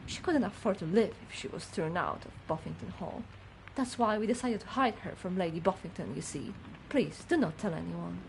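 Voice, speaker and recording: A prim and loyal female servant of a country manor , acted by a woman for a video game. She speaks formally and is easily affronted, with a very lively pitch. There is faint noise in the recording. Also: normal speed, gravelly, hardly any pauses, low-quality recording. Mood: pleading. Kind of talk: video game character acting.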